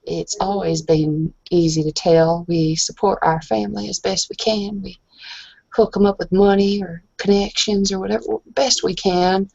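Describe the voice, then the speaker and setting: Woman playing a tipsy troubled girl in a tabletop game, halting n evasive, dry muffled audio. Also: medium pitch, fairly even intonation, creaky, mellow, no room sound. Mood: low, troubled. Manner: hesitant, halting, apologetic. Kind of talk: in-character tabletop roleplay.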